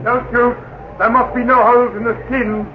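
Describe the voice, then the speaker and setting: An actor playing a principled professor on an expedition, speaking formally and earnestly in an old-time radio drama. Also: male voice, extremely high pitch, little pitch movement, moderate pace, over music. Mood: alarmed. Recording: muffled, faintly noisy old radio recording.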